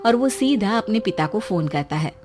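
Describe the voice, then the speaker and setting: Expressive woman narrating a cartoon story. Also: medium pitch, moderate pace, a little hiss or hum.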